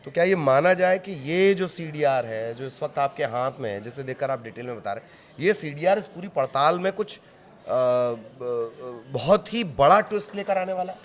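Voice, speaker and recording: A man's voice: mid-range voice, animated, normal speed. A man anchoring a live news discussion, putting questions and observations to a colleague.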